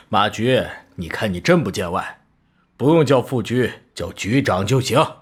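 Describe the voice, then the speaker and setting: A man voicing a genial, wily middle-aged police bureau chief with a folksy, jovial manner in an animated crime drama. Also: mid-range voice, takes it slowly, slightly rough, heavily acted. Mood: jovial, sly. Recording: faint background ambience.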